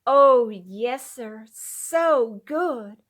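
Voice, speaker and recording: Lady doing a sickly little storybook girl, soft and meek, super polite and grateful. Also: on the high side, animated, very slow, heavily acted.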